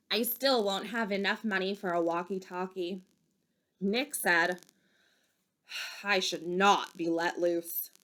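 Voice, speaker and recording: Bright-voiced woman reading a bedtime story. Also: medium pitch. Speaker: storybook reader. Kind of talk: expressive children's bedtime story read-aloud.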